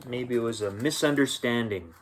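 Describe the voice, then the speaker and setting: A man reading a children's picture book aloud as a storyteller, expressive and unhurried, with gentle asides to the listeners. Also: medium pitch. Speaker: bedtime story reader and host.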